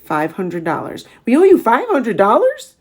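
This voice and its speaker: A woman's voice: on the high side, huge pitch swings, moderate pace, a little mellow, slightly rough, full character voice. A woman voicing an earnest, worried young farm boy in a storybook, pleading and full of questions. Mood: shocked, upset. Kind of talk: storybook read-aloud character voice.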